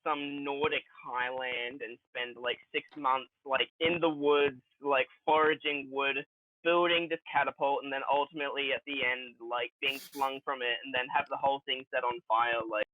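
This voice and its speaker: A man's voice: medium pitch, steady tone, normal speed, no room sound. A young man co-hosting a casual comedy podcast, talking in slangy, sweary bursts on dull lo-fi audio. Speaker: podcast co-host.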